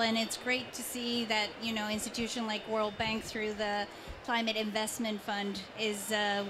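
Woman moderating, hall noise behind. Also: on the high side. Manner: polite, slightly hesitant. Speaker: panel moderator. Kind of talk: moderating a panel. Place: large conference pavilion indoors.

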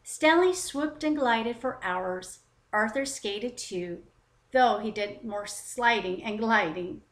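A woman with a fairly high voice narrates a picture book for young children. Her delivery is expressive and deliberate. The recording has a noticeably roomy echo. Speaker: children's story time host and narrator.

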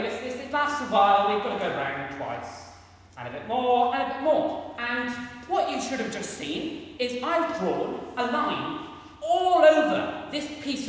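A young man giving an energetic, self-deprecating maths demonstration on stage in a large hall, chatting with the audience while using a handheld mic that he sometimes puts down. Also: high voice, far from the mic. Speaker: maths performer at a variety show. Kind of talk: live stage talk with hands-on demonstration. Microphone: handheld mic, sometimes set down. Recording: echoey hall, voice picked up from afar.

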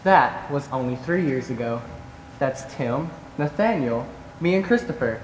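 A man's voice: medium pitch, animated, a little slow, close to an everyday voice. A man playing a campy, witty and wistful young man in a stage play, theatrical in delivery. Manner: theatrical, quippy. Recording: echoey theater, faint noise.

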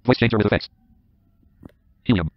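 A synthetic male screen-reader voice reading out button labels in a flat way. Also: fairly low pitch, drawn-out words, lo-fi sound.

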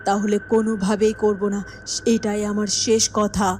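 A woman's voice: medium pitch, little pitch movement, normal speed, warm tone, a little hiss or hum, close to an everyday voice. A woman voicing a righteous, stern queen mother in a cartoon, firm and emphatic. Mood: defiant, stern. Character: defiant, righteous queen mother refusing a villain. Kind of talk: melodramatic cartoon voice acting.

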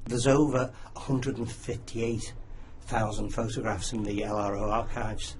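A man's voice: lowish voice, level tone, measured pace. A man with a Liverpool accent, an interview guest telling stories conversationally and repeating words for emphasis. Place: small community radio studio. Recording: clear background noise, room ambience.

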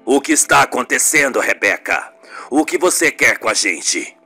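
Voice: mid-range voice, lively intonation, moderate pace, sharp tone, soft background music, pitched below the performer's own voice. A performer voicing a stern, curt newsroom boss, a man, in an amateur radio soap.

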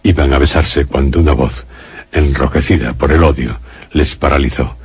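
An actor narrating a gothic radio suspense drama in a solemn, literary manner. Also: male voice, a bass voice, steady tone, moderate pace, a little mellow, slightly rough, no echo, exaggerated voice. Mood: solemn, suspenseful. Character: grave male narrator of a gothic suspense tale.

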